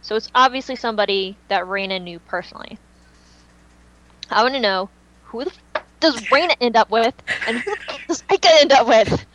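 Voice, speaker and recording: A woman's voice: on the high side, brisk, noisy background. A woman co-hosting a fan podcast in casual, unscripted chat, speaking emphatically and repeating phrases for dramatic effect. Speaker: podcast co-host and fan fiction writer.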